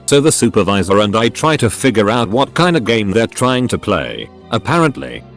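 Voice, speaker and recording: A man with a fairly low voice reads online posts aloud, edit notes included, his pitch rising and falling a lot. He stays even and uninvolved throughout. Soft music plays quietly behind him. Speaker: narrator. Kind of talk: reading Reddit posts aloud.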